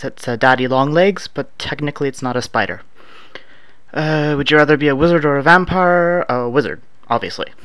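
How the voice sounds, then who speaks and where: A relaxed Canadian man in his thirties talks through a list of words and questions with an offhand, dry humor, dropping plenty of uhs. His voice sits at an ordinary pitch and comes across smooth and clear, with a dry, close sound and hardly any room around it. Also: dry recording. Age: 30s. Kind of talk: casual accent-tag recording, reading prompts aloud. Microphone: no proper microphone, home setup.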